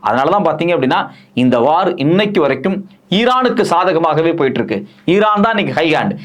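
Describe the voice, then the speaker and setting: A man with an Indian Tamil accent delivers political news commentary in a fairly high-pitched voice. He explains point by point in an emphatic way, repeating key phrases and posing questions that he then answers himself. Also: lively intonation, quick pace.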